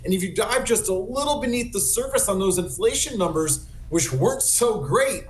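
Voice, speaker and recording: A man's voice: high voice, animated, moderate pace. A man giving articulate, critical economic analysis as a broadcast guest. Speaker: economic commentator, interview guest. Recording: echoey room with background noise.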